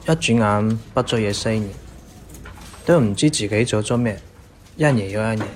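A man's voice: lowish voice, normal speed, a little hiss or hum, natural voice. A man with a Cantonese accent playing an aimless, discouraged young graduate in a radio drama. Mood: dejected.

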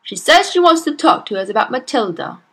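A snappy, blustering father with no patience for visitors, as a woman reader puts him on. His lines come out loud and gruff-edged over a slightly husky voice, pitched high for a man. He talks down to everyone in the room, as in a children's storybook reading. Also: sing-song, fairly quick, exaggerated voice. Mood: irritable. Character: rude brash middle-aged father, impatient.

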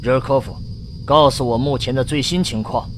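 A man's voice: medium pitch, normal speed, a little hiss or hum, lightly acted. A man playing a resolute, stubborn middle-aged spaceship captain in a radio drama, giving orders with determination. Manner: commanding, determined.

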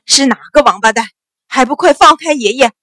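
Woman acting out a loud, blustering bully officer. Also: mid-range voice, animated, normal speed, no room sound. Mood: pained, furious. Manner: sneering, blustering, shouting. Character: bullying male government officer, arrogant, howling coward when hurt.

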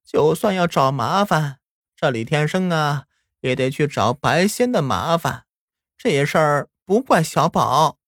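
A man voicing an old grandmother who speaks slowly and softly in a storybook tale. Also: on the high side, expressive, no echo, clean studio sound, pitched above the performer's own voice. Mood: doting. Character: old grandmother, soft and doting.

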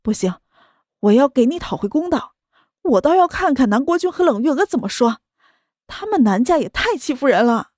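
An outraged mother defends her daughter in a raised, high, insistent voice, performed by a female storyteller. Her scolding rings out loud against a dry, close recording. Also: sing-song, moderate pace, no echo. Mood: indignant scolding. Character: indignant middle-aged mother, protective and shrill.

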